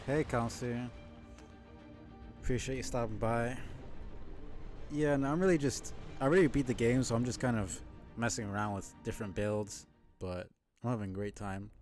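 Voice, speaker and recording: A man's voice: mid-range voice, a little mellow. A man giving casual live gaming commentary, thinking aloud as he plays and chatting with viewers. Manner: musing aloud while playing, chatty with viewers. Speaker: live game streamer.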